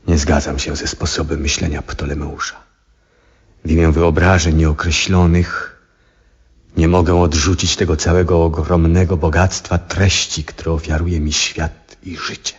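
An actor playing an aging, troubled, devout astronomer in a 1970s radio drama, speaking in a solemn, reflective and husky way. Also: male voice, very deep voice, flat delivery, exaggerated voice. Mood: wondering, resolute. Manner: solemn, reflective, theatrical, deliberate phrasing. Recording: dull vintage radio recording.

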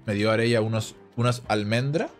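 A man's voice: lowish voice, fast talker, faint music behind. Chatty man streaming a card game. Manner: relaxed, chatty, reads and answers chat. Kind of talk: casual live-stream chat while playing. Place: small home room, indoors. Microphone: headset.

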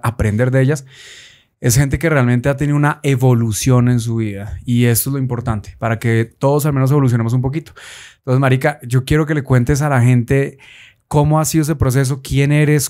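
A man in his thirties with a Colombian accent, hosting a podcast in relaxed, slangy casual chat, recorded on a close desk mic in a small studio. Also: mid-range voice. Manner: relaxed, slangy, laughs often. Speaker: podcast host.

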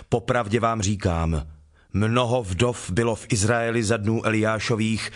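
An actor playing a calm, authoritative holy teacher in a dramatized Bible reading, speaking in a measured, solemn, declamatory way. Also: male voice, fairly low pitch, natural voice. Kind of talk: dramatized Bible audio acting.

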